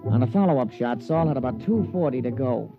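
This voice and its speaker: An American actor playing a plain-spoken, loyal golf caddie who narrates in slangy old-time radio style. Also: male voice, mid-range voice, animated, fairly quick, lightly acted. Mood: storytelling. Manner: folksy, slangy, deferential. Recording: dull vintage radio recording, music behind.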